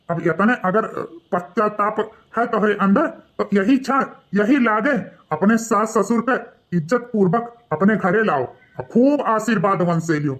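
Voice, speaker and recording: Guy doing a stern cartoon pandit, awadhi accent, high bright voice, preachy n scolding. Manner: preachy, admonishing. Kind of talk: melodramatic moral cartoon voice acting.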